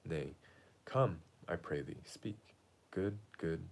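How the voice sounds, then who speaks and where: A man's voice: deep voice, slow pace, darkish tone, exaggerated voice. A man reading the part of an impatient, lovesick teenage girl from a Shakespeare play, in a declamatory, pleading way. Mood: anxious pleading. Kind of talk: amateur read-aloud of Shakespeare play.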